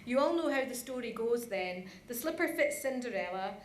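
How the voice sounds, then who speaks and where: A woman's voice: on the high side, animated, normal speed. A woman giving an informal presentation in a chatty way, speaking directly to her audience. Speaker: conference presenter. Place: large conference hall. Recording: echoey hall, some background noise.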